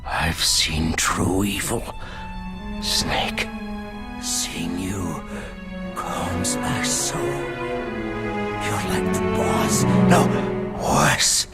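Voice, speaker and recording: Dying psychic game villain, very slow, heavy gravelly rasp, sinister, mournful, theatrical, music behind. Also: male voice, fairly low pitch, fairly bright tone, full character voice. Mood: weakening, eerily calm. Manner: slow, halting, dramatic phrasing. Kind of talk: video game cutscene acting.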